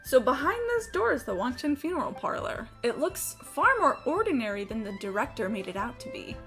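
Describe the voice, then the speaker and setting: High-voiced woman streamer, blunt. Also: very animated, brisk, fairly bright tone, faint music behind. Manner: blunt, sweary, opinionated. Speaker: livestreamer. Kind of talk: casual game livestream commentary.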